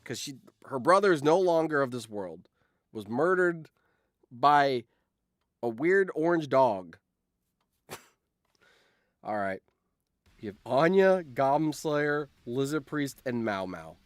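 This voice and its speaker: A man hosting a live stream, reading out game events and reacting in an animated, exclamatory way with repeated phrases. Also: mid-range voice, slow, pauses often, no echo.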